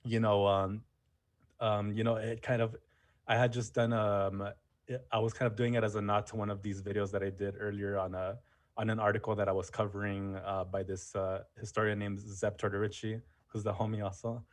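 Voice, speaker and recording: A history teacher talking casually as an interview guest, full of "you know" and "um" fillers. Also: male voice, lowish voice, flat delivery, a little fast. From United States. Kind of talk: casual conversation.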